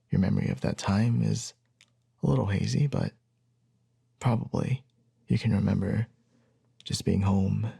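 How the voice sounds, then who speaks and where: A man playing a gentle, reassuring young boyfriend in an intimate ASMR roleplay, speaking softly and tenderly, often close to a whisper, with hesitant pauses. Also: on the low side, exaggerated voice. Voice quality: soft, breathy, husky, often near a whisper.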